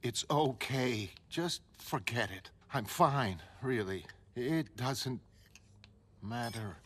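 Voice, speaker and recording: A man's voice: medium pitch, animated, takes it slowly, a bit bright, slight background noise. A man voicing an exasperated, emotional woman in a motion comic, with an agitated, pleading and blunt manner. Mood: angry, hurt. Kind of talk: motion comic dramatic reading.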